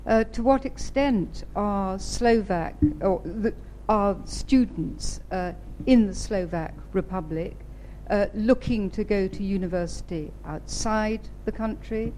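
A woman's voice: medium pitch, takes it slowly, warm tone, slight background noise, poor audio. A woman in the audience asking a question in a careful, hesitant way. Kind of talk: question from the floor.

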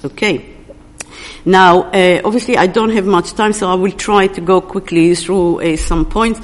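A woman presenting an academic book at a conference, speaking in a halting way and often searching for words mid-sentence. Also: on the low side, steady tone. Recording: long reverb of a large hall.

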